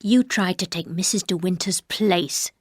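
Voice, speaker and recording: A woman voicing a bitter, menacing older housekeeper who speaks slowly and coldly, often dropping to a whisper. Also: breathy edge, no echo, clean studio sound. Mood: cold menace. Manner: slow, low, cold, often whispered.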